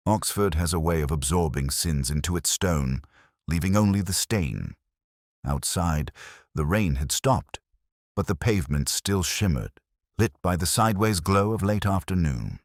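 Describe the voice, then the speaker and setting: A man playing a weary, reflective older detective, narrating a crime drama in measured, solemn, literary phrases. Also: low voice, lively intonation, no room sound, clean studio sound. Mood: wistful, restrained. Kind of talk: scripted first-person detective story narration.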